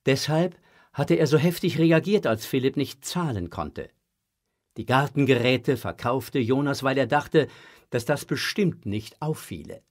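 A man narrating a children's audio drama from a script. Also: medium pitch.

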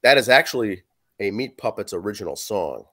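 A hoarse man in his forties co-hosting a podcast over a video call, reading album facts with fillers. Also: on the low side, very animated, a little fast, sharp tone. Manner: reads facts and track lists with fillers. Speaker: podcast co-host reviewing an album. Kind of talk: casual podcast chat, reading notes. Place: small room at home. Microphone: video call webcam.

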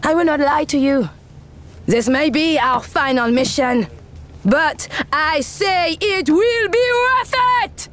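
A woman's voice: high-pitched, measured pace. A woman voicing an earnest, commanding resistance leader with a French accent in a video game. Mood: solemn, rousing. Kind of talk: video game cutscene acting.

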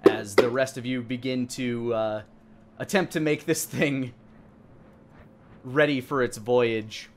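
A man in his thirties improvising narration as dungeon master of a tabletop game, in a halting, conversational way. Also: mid-range voice, steady tone, noisy background.

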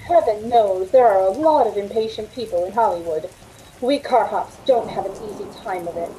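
A weary, wistful carhop, acted by a woman in an old-time radio play. Her voice is fairly high and mellow, and she speaks as if confiding a tale. Rain and faint noise sit behind her. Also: close to an everyday voice, rough recording. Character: weary, wistful 1940s Hollywood carhop telling her story.

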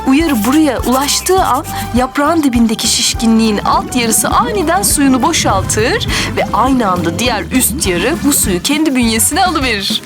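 A woman's voice: high-pitched, animated, normal speed, over music. A woman playing a patient, kindly mother who explains things to children in a cartoon. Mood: patient explaining. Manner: explanatory, gentle.

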